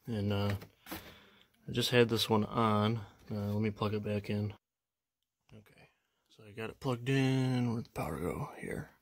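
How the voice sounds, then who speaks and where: A young man talks through his gear in a low, steady voice that barely rises or falls. He sounds casual and unsure, pausing often and trailing off with fillers as he shows his collection. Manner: unsure, hesitant, many fillers and trailing phrases. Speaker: vlogger showing his radio collection. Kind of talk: casual show-and-tell walkthrough.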